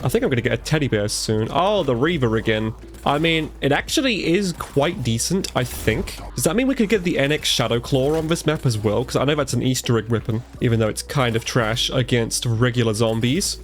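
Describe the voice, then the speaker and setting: A man giving casual live commentary over gameplay, a bit congested from a cold, chatty and reacting out loud as he plays. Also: medium pitch, expressive, fairly quick, slight background noise. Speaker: gaming commentator.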